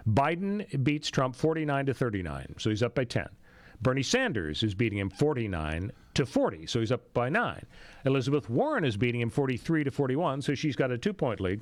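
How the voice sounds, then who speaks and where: A man's voice: mid-range voice, dry recording. An American man hosting talk radio, giving conversational political commentary with wry rhetorical questions. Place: radio studio.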